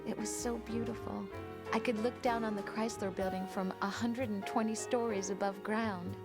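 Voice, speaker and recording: A woman's voice: mid-range voice, fairly even intonation, moderate pace, over loud music, natural voice. An actress playing an affectionate young woman fondly recalling a first date. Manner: soft, fond, reminiscing.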